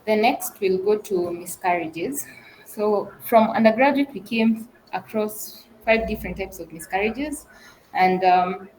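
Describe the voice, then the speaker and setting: A woman's voice: mid-range voice, a little slow, a little mellow, a little hiss or hum, low-quality recording. A woman trainee presenting medical imaging cases in an explanatory style. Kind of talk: case presentation of medical images.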